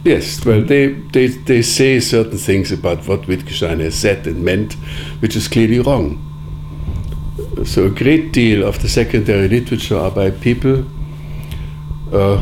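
A man whose first language is Sorbian, answering interview questions in a measured, deliberate way with frequent pauses. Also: on the low side, background noise. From Germany. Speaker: interviewee, editor of philosophical writings.

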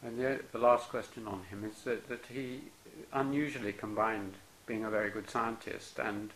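A male interviewer asking questions politely and hesitantly, with many ums and soft acknowledgements. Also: on the low side, fairly even intonation, moderate pace, slight background noise, rough recording. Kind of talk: oral history interview.

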